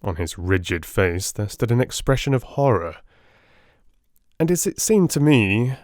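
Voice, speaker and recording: A man narrating a classic story as a dramatic audiobook, with theatrical, deliberate phrasing. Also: fairly low pitch, very animated, a little mellow, no room sound, clean studio sound.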